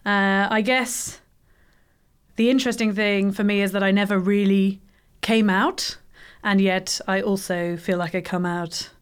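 Hesitant woman with a clear voice. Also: mid-range voice, steady tone, a little slow. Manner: hesitant, with fillers. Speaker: podcast guest. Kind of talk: casual personal account.

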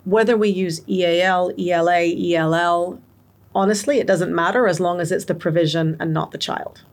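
A woman's voice: fairly low pitch, steady tone, moderate pace. A woman guest giving long, articulate interview answers on education, explaining her points with examples. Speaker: guest expert on multilingual education.

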